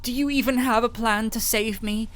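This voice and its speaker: A woman playing a gentle, desperate doctor in a fantasy audio roleplay, with halting, emotive, breathy delivery. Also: fairly high pitch, steady tone, close to an everyday voice. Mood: urgent pleading. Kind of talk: dramatic audio roleplay acting.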